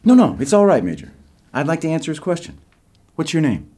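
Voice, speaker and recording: An actor playing a slick, polished civilian pitchman presenting a secret military program in an audio drama. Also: sing-song, fairly quick, dark tone. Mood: smooth, assured. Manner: smooth, polished, salesmanlike.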